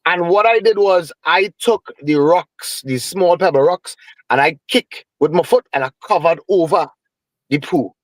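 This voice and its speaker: A Trinidadian man tells stories with easy Caribbean turns of phrase. His voice sits a little high and sounds dry and close, with no room around it. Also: measured pace, no room sound, rough recording. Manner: animated storyteller with Caribbean phrasing. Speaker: guest musician. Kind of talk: casual storytelling interview.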